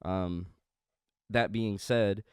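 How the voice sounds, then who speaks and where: A man's voice: fairly low pitch, fairly even intonation, very slow, smooth, no room sound, clean studio sound. A young man, a music producer, talking casually with a congested, slightly nasal voice, rambling with many fillers and restarts. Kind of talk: casual studio walkthrough.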